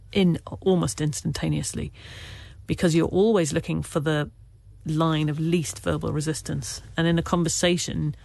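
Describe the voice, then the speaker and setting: Guy from wales who stammers, kinda gravelly, tells stuff earnestly, dead dry sound. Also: a little high, a little mellow, no echo. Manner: stammerer, halting with restarts; reflective, earnest storytelling. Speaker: author being interviewed. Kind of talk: candid conversational interview.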